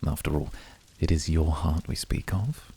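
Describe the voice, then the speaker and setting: A man voicing a composed, poetic, devoted incubus in a flirty ASMR roleplay, speaking formally in a measured, seductive, often whispery way with a raspy, breathy voice. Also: a bass voice, steady tone, dark tone, heavily acted. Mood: composed, coy. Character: composed, poetic, devoted male incubus of calm, icy temper.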